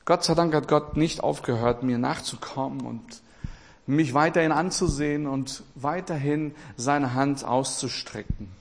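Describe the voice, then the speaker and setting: A man from Portugal preaching a sermon with passion, repeating his phrases and swinging between loud and quiet. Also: mid-range voice, unhurried, vocal fry.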